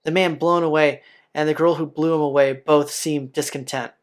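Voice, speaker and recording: A man's voice: on the high side, steady tone, normal speed. A man hosting a let's play, reading visual novel narration aloud. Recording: music playing behind the voice. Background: game soundtrack.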